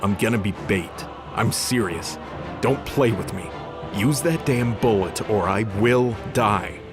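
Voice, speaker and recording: A cynical, disgraced angel warrior played by a man in an audio drama, speaking in a low voice with gruff sarcasm. He swears freely, and loud music plays under him. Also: lively intonation, a little slow. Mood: urgent, exasperated. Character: cynical, sardonic disgraced male angel fighter.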